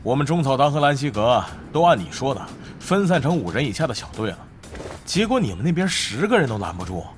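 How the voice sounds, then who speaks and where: A man's voice: mid-range voice, big pitch swings, fast talker, faint music behind, close to an everyday voice. An actor playing an indignant, blustering male rival guild leader in a Chinese audio drama, confrontational and complaining.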